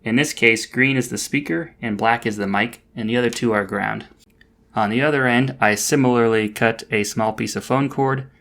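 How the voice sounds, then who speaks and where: Man, fairly low pitch, creaky voice, casual step-by-step DIY narration, understated dry delivery. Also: a bit bright. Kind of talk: explanatory project voice-over, casual.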